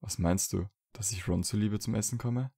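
A man's voice: fairly low pitch, normal speed, gravelly, mellow, breathy edge, dry recording, clean studio sound. A man playing a troubled, dryly teasing young wizard in an audio drama. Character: troubled young wizard, dry and teasing, trying to quit drinking. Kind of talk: fan fiction audio drama dialogue.

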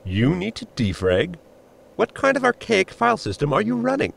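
Gravelly, formal robot servant played by a man. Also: high voice, normal speed, exaggerated voice. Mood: mocking. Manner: formal, precise, deadpan. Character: dry, dutiful male ship robot servant. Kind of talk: sci-fi comedy audio drama acting.

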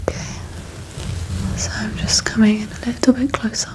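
Young woman, breathy whisper, very even delivery, gentle ASMR exam roleplay, close mic. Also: fairly high pitch, moderate pace. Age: young adult. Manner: gentle, soothing instruction. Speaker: ASMR performer playing an eye examiner. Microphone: very close microphone.

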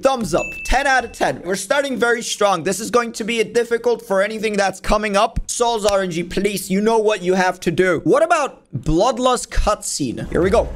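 High-pitched young man reacting excitedly. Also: normal speed. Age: young adult. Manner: excitable, informal, exclaiming. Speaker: host of gaming reaction videos. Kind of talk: casual reaction commentary. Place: indoor room at a desk. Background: music from the videos he watches.

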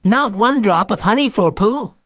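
A bossy storybook rabbit. The voice jumps up high and swings about in pitch, with a fussy, sharp edge to the words. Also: male voice, lively intonation, dry recording, clean studio sound. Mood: sharp, scolding. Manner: brisk-tempered, sharp, scolding.

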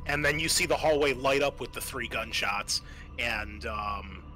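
A man with a bright, forceful voice narrates a tabletop game as game master, over clear background noise. His delivery is halting and conversational. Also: mid-range voice, little pitch movement, low-quality recording.

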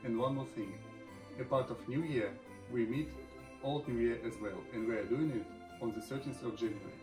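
Man, steady explainer, echoey room, music clearly under. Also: mid-range voice, normal speed. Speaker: company employee. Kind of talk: recorded greeting and explanation.

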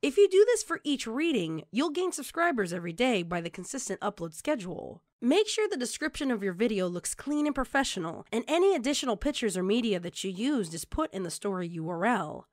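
A woman narrates a scripted how-to tutorial in a very lively, varied intonation. She speaks straight to the listener as an instructor. The recording is dry and close, with no room around the voice. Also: fairly high pitch, fairly quick, hardly any pauses, no room sound. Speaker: tutorial presenter.

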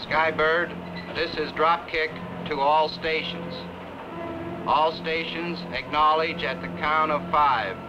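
American guy reading a military alert call, slow and clipped, muffled 60s film audio, music behind. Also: fairly high pitch, steady tone. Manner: clipped, procedural, deliberate. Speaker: military command post controller. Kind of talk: formal radio alert announcement. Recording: dull lo-fi 1960s film sound.

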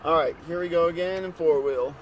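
A man's voice: fairly high pitch, a little fast, rough recording. A man giving casual, matter-of-fact running commentary while driving, recorded on a phone inside the vehicle. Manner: relaxed, matter-of-fact narration. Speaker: vlogger narrating his drive. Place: inside a vehicle on a snowy mountain road. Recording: phone recording in a moving vehicle with engine and wind noise. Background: engine and wind noise.

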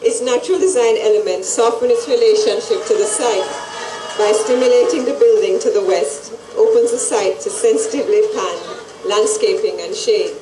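A middle-aged woman reading a formal scripted presentation at an event in a measured way. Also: fairly high pitch, reverberant, recorded from a distance, low-quality recording. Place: large indoor event hall with stage and audience. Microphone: handheld microphones at a lectern, through PA.

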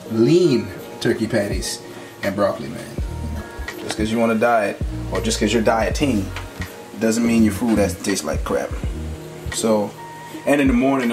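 A man's voice: medium pitch, poor audio. A young man casually talking through his meal prep in a home kitchen, with a laid-back, slangy way of talking into a handheld camera. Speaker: fitness vlogger. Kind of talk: casual cooking vlog. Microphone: handheld camera mic.

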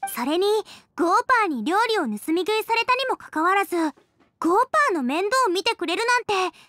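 A woman's voice: very high-pitched, big pitch swings, brisk, no room sound, studio quality, full character voice. An actress playing a friendly, curious young girl cook in an anime-style game, with an earnest manner. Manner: earnest, bright-eyed, curious. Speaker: game character voice actor.

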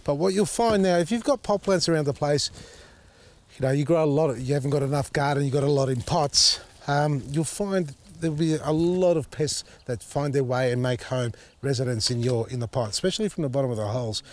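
A man, slightly husky as his voice recovers, chatting casually while demonstrating repotting outdoors in his garden. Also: on the high side, brisk. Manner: chatty, thinks out loud, many fillers. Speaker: gardening show host. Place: outdoor garden beside a tall hedge.

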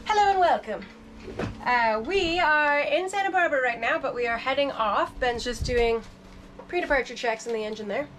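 A woman's voice: sits high, lively intonation, moderate pace, a bit bright, a little hiss or hum. A woman in her thirties chatting casually to the camera about sailing life, upbeat and chatty with fillers. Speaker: sailing vlogger. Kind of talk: casual vlog talk to camera. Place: boat cabin, indoors.

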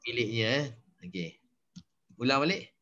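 A man's voice: mid-range voice, animated, a little fast, pushed and bright. Malaysian man in his fifties teaching English. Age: 50s. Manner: patient, coaching, switches between Malay and English. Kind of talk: online conversation lesson. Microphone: video call. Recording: slightly dull video call audio.

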